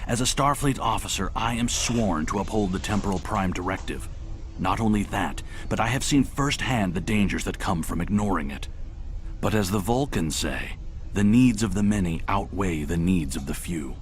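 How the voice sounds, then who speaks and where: A man playing a principled, cautious starship officer in a sci-fi game, formal and deliberate. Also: low voice, lively intonation. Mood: earnest, cautious. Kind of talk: video game voice acting.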